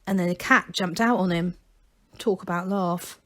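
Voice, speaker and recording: A woman's voice: fairly low pitch, fairly even intonation, normal speed, close to an everyday voice. A woman voicing a stubborn old male gnome who rambles insistently in rustic dialect, as in a storybook. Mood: insistent, reminiscing.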